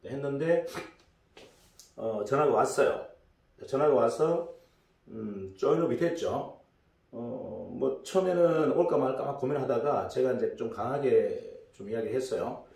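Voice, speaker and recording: Man, middle-aged, hoarse, rambling lecturer, distant mic, echoey room. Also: medium pitch, expressive, a little slow, a little mellow. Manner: rambling, many fillers and restarts. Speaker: business lecturer. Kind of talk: casual storytelling lecture.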